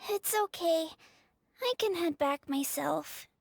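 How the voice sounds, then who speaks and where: A reserved, wistful young girl for a game: very high, slow, hesitant, polite. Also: gravelly, exaggerated voice. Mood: shy, subdued. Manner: hesitant, reticent, softly polite. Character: shy, reserved, melancholy little girl. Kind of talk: video game voice acting.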